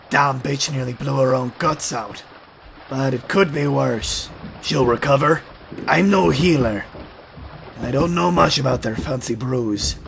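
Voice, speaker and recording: An actor plays a world-weary male fighter in a fantasy audio drama. His talk is gruff and blunt, full of gravelly creak, with clear noise rumbling behind him. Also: fairly bright tone, rough recording. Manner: blunt, rough colloquial talk.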